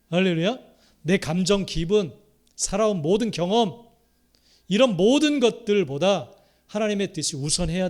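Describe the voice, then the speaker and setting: A middle-aged Korean man from the Chungcheong region, a pastor preaching a sermon who repeats key phrases and swings between loud exhortation and quiet asides. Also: sits high. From Korea, Chungcheong province.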